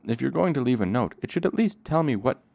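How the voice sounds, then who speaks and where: A man voicing an excitable, easily offended young male fae servant who hisses and splutters, in a storybook read aloud. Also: sits low, normal speed, vocal fry, no echo. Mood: indignant. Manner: indignant, hissing and spluttering.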